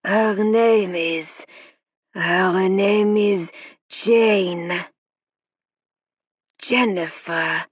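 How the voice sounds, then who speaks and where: A woman voicing a foreign male spirit guide speaking through a medium in a deep, growly, foreign-sounding voice, slow and solemn, on a dry, slightly dull recording. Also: husky, dry recording.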